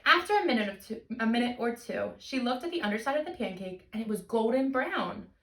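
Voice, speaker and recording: Teacher lady reading a kids book, highish bright voice, expressive, kinda echoey room. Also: a little fast, distant mic. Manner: animated, expressive teacher talk with simple questions and explanations. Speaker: teacher hosting a children's storytime. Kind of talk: read-aloud of a picture book for young children.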